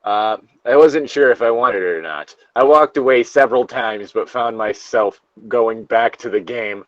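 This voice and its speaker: A man's voice: medium pitch, normal speed, a bit bright, no echo, muffled recording. A man reading a story aloud casually, with profane, self-interrupting asides and banter as a co-host. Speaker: co-host reading and riffing on internet horror stories.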